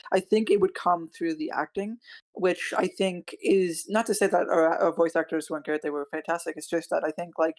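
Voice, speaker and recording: A person giving casual feedback in a rambling way, qualifying their opinions as they go. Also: medium pitch. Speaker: workshop participant.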